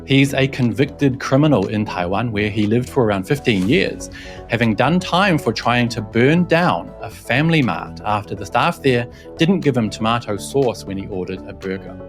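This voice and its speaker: A man's voice: mid-range voice, animated, normal speed, soft background music. A young man hosting a scripted news commentary, mocking and scornful in tone. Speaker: host, reporter. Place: Shanghai.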